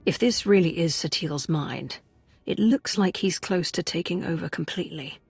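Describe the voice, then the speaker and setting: Husky, defiant warrior. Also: female voice, fairly low pitch, normal speed, darkish tone, no echo, soft background music. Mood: wary. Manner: dry, challenging. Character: tough, sceptical female warrior, wryly defiant. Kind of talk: dramatic video game acting.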